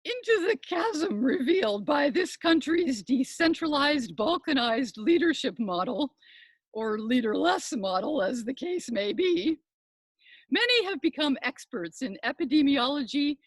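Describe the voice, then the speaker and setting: High-pitched, pompous older woman professor. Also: sing-song, dry recording. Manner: pompous, florid, deliberate academic delivery. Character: pompous older female professor hosting a podcast. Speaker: monologue performer, podcast host. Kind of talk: scripted comic monologue.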